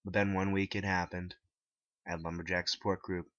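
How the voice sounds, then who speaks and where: A man reading his own story aloud as a scripted dramatic narration in a deep voice. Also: level tone, normal speed, fairly bright tone, no echo. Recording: dull lo-fi, dry close recording.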